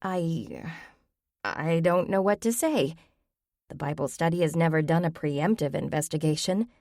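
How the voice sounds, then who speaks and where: A woman's voice: sits low, measured pace, vocal fry, darkish tone, no room sound, clean studio sound. A woman voicing a practical, puzzled adult woman who asks reasonable questions in an audiobook.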